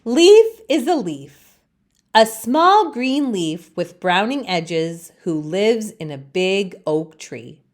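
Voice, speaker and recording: A woman reading a children's storybook aloud as a storytime host, with expressive narration. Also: medium pitch, slow pace.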